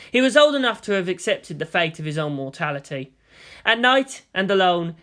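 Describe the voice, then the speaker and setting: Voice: medium pitch, a bit bright. A narrator reading fan fiction aloud as scripted, dramatic narration.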